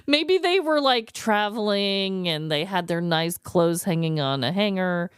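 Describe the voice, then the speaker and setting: A woman co-hosting a podcast in casual, comedic chat, animated and quick to laugh and swear. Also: medium pitch, clean tone, no echo, studio quality. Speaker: podcast co-host.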